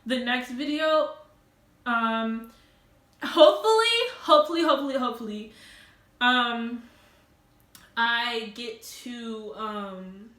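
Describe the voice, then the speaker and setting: A teenage girl chats loudly into her camera from the floor at home, giggling and doubling back as she rambles. Her voice is bright and a little high. The room around her echoes noticeably. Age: teens. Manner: loud, rambling, giggly, tangents and restarts. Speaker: vlogger. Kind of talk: casual storytime vlog.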